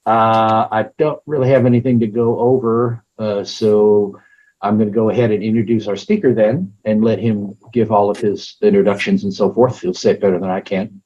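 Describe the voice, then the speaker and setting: A hoarse man hosting an online meeting, chatting in a rambling way with lots of fillers. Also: on the low side, steady tone, moderate pace, dark tone. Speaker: meeting host. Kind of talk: casual meeting opener. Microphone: computer mic on a video call. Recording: dull, muffled video call audio.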